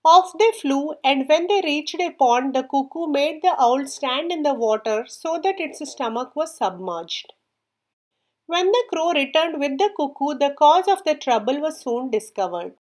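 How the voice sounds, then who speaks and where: A woman with a high-pitched voice narrates a story for children. She reads from a script in an expressive storytelling style. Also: unhurried.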